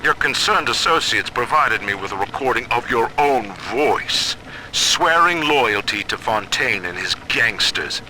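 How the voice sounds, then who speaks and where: A stern, commanding male ruler from a game drama. He orates coldly at a very measured pace, with a hard, piercingly bright edge. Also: medium pitch, expressive, a little hiss or hum, low-quality recording. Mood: accusing. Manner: grandiose, deliberate, oratorical. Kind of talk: dramatic video game acting.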